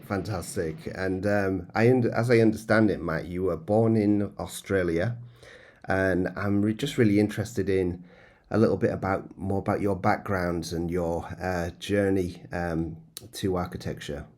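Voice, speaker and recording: A man hosting a podcast interview, speaking in a measured way with some hesitant restarts. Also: low voice, fairly even intonation, a little mellow. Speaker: podcast host.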